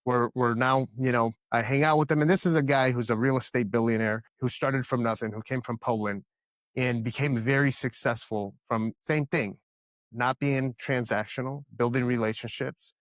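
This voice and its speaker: A man in his thirties from the Dominican Republic speaks as a podcast guest, earnest and emphatic, doubling back on words as he builds each point. His pitch sits in an ordinary middle range. The sound is close and dry, with no room around it. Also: steady tone, normal speed, no room sound. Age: 30s. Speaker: podcast guest, company founder. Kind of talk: casual interview answers.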